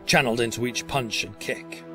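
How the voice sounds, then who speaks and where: A man narrates an audio drama in a scripted, dramatic style. His voice has a medium pitch and sits over prominent music. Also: fairly quick.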